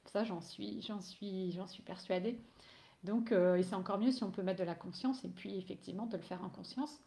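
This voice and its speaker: A woman's voice: medium pitch, moderate pace, creaky, warm tone, reverberant. A woman with a cold who is losing her voice, an energy healer talking casually on a live stream, hesitant with many fillers and restarts.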